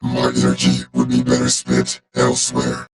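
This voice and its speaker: A man voicing a cold, loyal robot warrior for a video game, speaking in a clipped, formal, deadpan way through a robotic filter. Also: rumbling low, moderate pace, vocal fry, exaggerated voice. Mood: clinical, detached. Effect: robotic vocoder filter.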